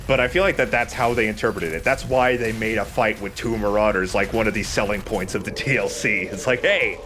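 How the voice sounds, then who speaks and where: A man's voice: fairly low pitch, fairly quick, music in the background. A man doing informal, opinionated commentary over a video game as a let's player.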